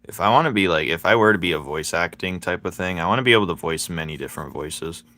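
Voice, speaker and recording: A man chatting casually with his viewers while he draws, playful and quick to laugh as he banters with them. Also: sits low, bright, forceful tone, no room sound. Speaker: streaming artist. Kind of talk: casual chat while drawing.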